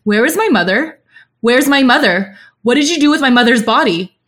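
An anguished young prince acted out by a woman in a dramatic story reading. The delivery is shouted and panicked, pitched well up, full of rage and grief. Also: lively intonation, normal speed. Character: anguished young male prince, enraged and grieving.

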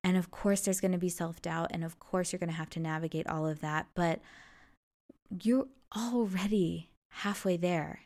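A woman's voice: on the low side, moderate pace, darkish tone. A young adult woman hosting a solo podcast, talking in an earnest, encouraging way. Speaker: podcast host. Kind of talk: reflective solo podcast monologue.

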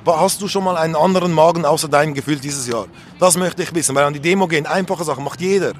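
A man's voice: fairly high pitch, quick pace. A young man speaking spontaneously and earnestly in a street interview, giving his opinions insistently. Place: outdoors on an urban street. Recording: faint urban street and traffic noise.

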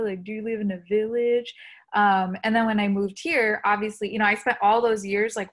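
Earnest woman with a gravelly edge. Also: mid-range voice. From India. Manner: earnest, reflective, uses many fillers. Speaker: guest. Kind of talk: conversational interview.

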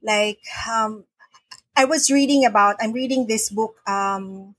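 Husky-voiced woman guest from the Philippines. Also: a little high, measured pace, fairly bright tone. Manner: earnest, repeats words when starting sentences. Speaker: podcast guest. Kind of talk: casual interview talk. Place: home in the Philippines.

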